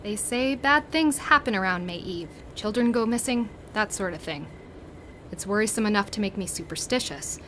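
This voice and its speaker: An actress playing a concerned, practical student friend in a radio play, earnest and reassuring. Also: female voice, mid-range voice, expressive, moderate pace, a bit bright, slight background noise, close to an everyday voice. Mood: worried. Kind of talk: dramatic radio play acting.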